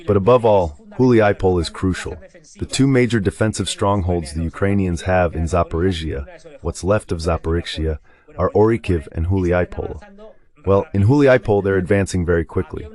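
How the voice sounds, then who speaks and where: A man's voice: low voice, moderate pace, darkish tone. A man's synthetic dubbed voice giving explanatory commentary over a map, as a guest analyst, often repeating phrases. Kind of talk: live map-based war commentary.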